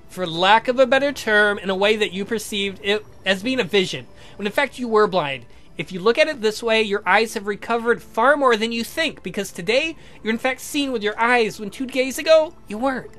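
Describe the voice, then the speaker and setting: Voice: medium pitch, expressive, normal speed, fairly bright tone, soft background music, close to an everyday voice. A performer voicing a patient young male scientist in a visual novel, explaining things in a formal, precise, pedantic way. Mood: explanatory.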